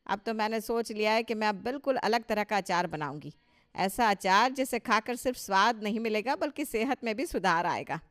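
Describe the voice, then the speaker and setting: A woman's voice: medium pitch, lively intonation, fairly quick, lightly acted. A woman playing a proud, touchy older mother-in-law in a Hindi cartoon story, emphatic and boastful with a scolding edge. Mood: determined.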